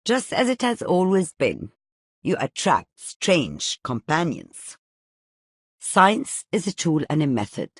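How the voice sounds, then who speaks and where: A woman acting a stern, wise older healer in a video game, measured and knowing. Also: deep voice, huge pitch swings, slightly rough, no echo, studio quality, exaggerated voice. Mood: steady, stern. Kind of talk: dramatic video game voice lines.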